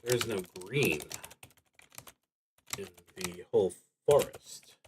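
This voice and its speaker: A man with a fairly low voice talks very slowly as he plays a solo roleplaying game on a livestream. He alternates reading out prompts with working through his choices, hesitating and letting phrases trail off. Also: a little hiss or hum. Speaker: solo tabletop roleplaying streamer.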